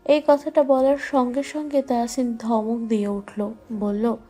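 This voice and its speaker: Female storyteller for romantic audio fiction: very mellow tone, higher register, light music bed. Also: flat delivery, unhurried. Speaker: narrator. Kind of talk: scripted romantic story narration. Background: faint music.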